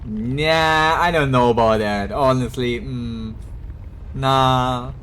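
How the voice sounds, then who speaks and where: A man's voice: medium pitch, slow pace, fairly bright tone, slight background noise. A man giving casual, crude and mocking live commentary as he streams a game. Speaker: game streamer reacting to a story quest.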